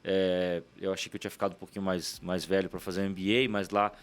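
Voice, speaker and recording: Fast-talking Paulistano man in his forties. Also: low voice, little pitch movement, clear, resonant tone. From São Paulo, Brazil. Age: 40s. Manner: quick, chatty, leans on "né" tags. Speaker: guest, startup CEO. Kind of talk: casual interview storytelling.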